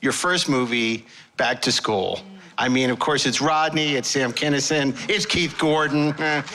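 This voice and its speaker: A man's voice: mid-range voice, steady tone, measured pace, pushed and bright. A man hosting a panel, asking questions in a casual, wisecracking way. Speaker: panel host, interviewer. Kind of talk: casual, jokey interviewing.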